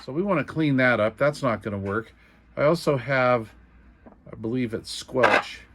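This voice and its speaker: A middle-aged or older American man giving an informal hands-on how-to explanation in an unhurried, halting, folksy manner. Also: fairly low pitch, a bit bright. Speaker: repair tutorial host. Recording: clear background noise.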